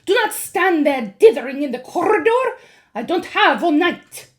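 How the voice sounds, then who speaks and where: A woman voicing a cruel, imperious female witch leader who snaps her commands loudly. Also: very high-pitched, sing-song, normal speed, voice pushed up. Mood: impatient. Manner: snapping, commanding, loud.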